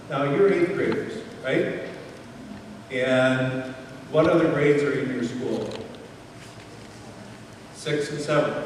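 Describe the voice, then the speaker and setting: A man's voice: medium pitch, a little mellow. Man giving a school talk in an echoey hall. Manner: asks the audience questions, checks in often with "right?". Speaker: speaker addressing students. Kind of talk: motivational talk to eighth graders. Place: large echoey room with an audience. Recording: echoey hall, far from mic, faint noise.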